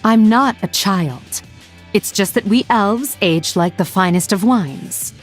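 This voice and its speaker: An actress playing a sly, sardonic elf rogue assassin in a video game, with a dry, teasing, theatrical delivery. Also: female voice, mid-range voice, slow pace, soft background music. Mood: playful, cocky. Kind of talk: video game character voice lines.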